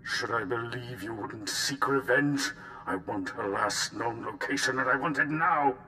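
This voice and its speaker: Forceful, menacing interrogator. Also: male voice, unhurried. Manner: commanding, threatening. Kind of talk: video game voice acting. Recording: noisy, rumbling background.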